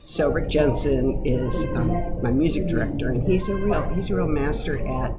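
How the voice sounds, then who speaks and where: A woman with a deep, low register talks about her singing in a relaxed radio interview. She is chatty and self-deprecating and laughs often. Loud music plays throughout behind her voice. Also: moderate pace. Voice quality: lower voice. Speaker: cabaret singer, guest. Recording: music playing loudly underneath.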